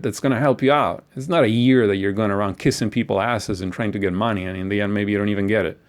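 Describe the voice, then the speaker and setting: A man giving a casual, rambling lecture as a course instructor, blunt and full of profanity. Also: on the low side.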